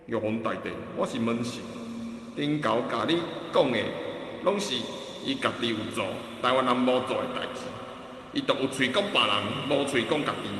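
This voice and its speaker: A formal, emphatic male deity played by a man in a staged folk legend. He orates at a middle pitch, with long hall reverb and faint noise around him. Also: moderate pace, fairly bright tone, lightly acted. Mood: indignant pleading.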